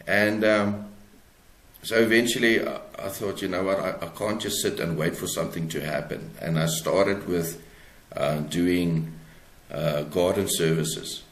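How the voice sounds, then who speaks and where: Male interview guest, South African accent, low-pitched voice, thoughtful halting delivery, roomy echo. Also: fairly even intonation. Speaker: author, podcast guest. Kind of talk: conversational interview answers. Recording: echoey room sound.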